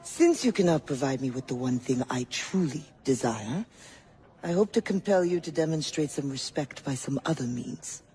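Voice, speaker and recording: A man's voice: fairly high pitch, animated, normal speed, warm tone, natural voice. Male-sounding actor as a poised, shrewd informant. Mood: coy reproach. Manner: poised, teasing, formal. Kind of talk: video game cutscene acting.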